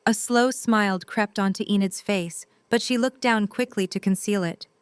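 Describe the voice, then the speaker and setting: A woman narrates a scripted story. Her voice is mid-pitched, smooth and crisp, telling the action between the characters' lines. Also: moderate pace, dry recording.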